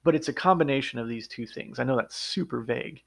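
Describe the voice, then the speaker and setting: Gravelly-voiced man musing on his podcast. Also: mid-range voice, fast. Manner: earnest, conversational, explains step by step. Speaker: podcast host and audiobook narrator. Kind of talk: casual solo podcast monologue.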